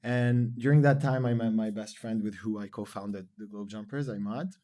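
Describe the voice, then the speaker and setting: A man in his thirties from Lebanon, a podcast guest talking in a relaxed, rambling way with many fillers. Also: on the low side, moderate pace. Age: 30s. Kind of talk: casual interview chat.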